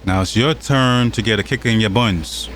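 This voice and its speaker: A man's voice: fairly low pitch, moderate pace, a bit bright. A man from the United States hosting a reaction commentary, mocking and challenging the clips he plays, with faint noise behind him. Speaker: commentary channel host.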